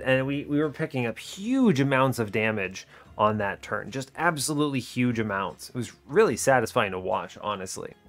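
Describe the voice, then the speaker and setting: A man's voice: medium pitch, a bit bright. A man giving casual gameplay commentary, chatty and informal, thinking aloud as he explains his strategy. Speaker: host of a gaming video series.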